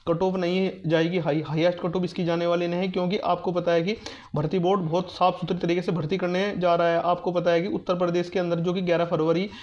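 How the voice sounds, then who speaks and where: High-pitched, fast-talking man. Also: flat delivery, darkish tone. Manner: repetitive, reassuring, instructive. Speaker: exam news and guidance YouTuber. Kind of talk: informal exam update monologue addressed to students. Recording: dull, lo-fi muffled sound.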